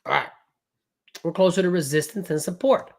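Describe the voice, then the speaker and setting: A man running a live trading class, recovering from a cold, talking in a loud, brash and profane way with lots of rambling asides. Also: high voice, gravelly. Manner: casual, fast and rambling, with frequent "right?". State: recovering from a cold, voice not fully back. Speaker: day trading coach.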